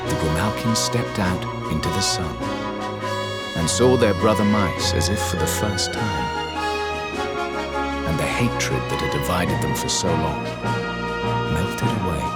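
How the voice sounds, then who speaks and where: A man narrating a fantasy game like a storybook, in a stately, measured, slightly wry manner. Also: deep voice. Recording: loud brass music under narration.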